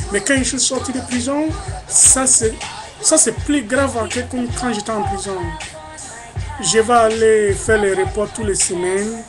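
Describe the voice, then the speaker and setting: A man from Africa talks straight into a webcam, emphatic and indignant, circling back over the same phrases again and again. Music plays clearly in the background of his recording. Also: sits high. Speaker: vlogger. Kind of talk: webcam monologue.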